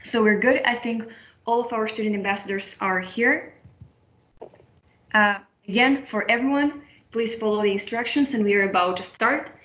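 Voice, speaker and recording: A woman's voice: a little high, normal speed, a bit bright. A young woman from Moldova moderating an online student webinar, friendly, often restarting and repeating phrases. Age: young adult. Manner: friendly, with frequent restarts and repetitions. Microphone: video call. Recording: roomy, dull video-call audio.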